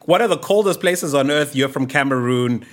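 A man hosting a podcast interview, lively and reading out guest bios at length. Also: mid-range voice, normal speed. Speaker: podcast host.

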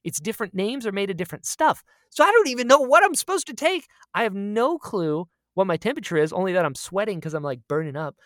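Expressive woman telling stories, close dry sound. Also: mid-range voice, fast, few pauses, no echo. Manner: animated, expressive, quotes herself dramatically. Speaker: podcast co-host. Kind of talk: casual chatty storytelling.

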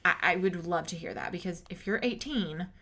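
A woman's voice: fairly low pitch, fairly quick, sharp tone. A woman co-hosting a casual podcast, talking loosely and often hedging her views. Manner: chatty, hedging with "I don't know". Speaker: podcast co-host. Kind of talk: casual chat.

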